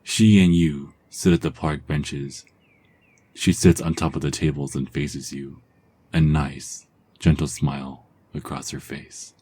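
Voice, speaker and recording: Very deep husky male narrator. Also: flat delivery, unhurried, warm tone. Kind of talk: second-person ASMR story narration.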